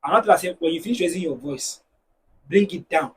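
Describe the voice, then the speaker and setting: A man with a Nigerian accent, a married guest on a discussion show, talking in an animated, preachy way and telling stories to make his points. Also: on the high side, quick pace, recorded from a distance, a little hiss or hum. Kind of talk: casual panel discussion.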